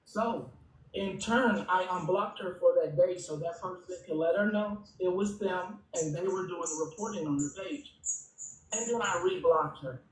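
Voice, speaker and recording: A man's voice: high voice, normal speed. A man speaking as a pastor on a live panel, defensively explaining himself at length. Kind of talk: casual conversation on a live panel. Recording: roomy, distant mic with noise.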